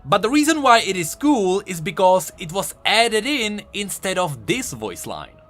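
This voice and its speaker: A man's voice: sits high, big pitch swings, measured pace, a bit bright, faint music behind. A man doing an enthusiastic, conversational voice-over commentary as a video host. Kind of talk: game lore commentary voice-over.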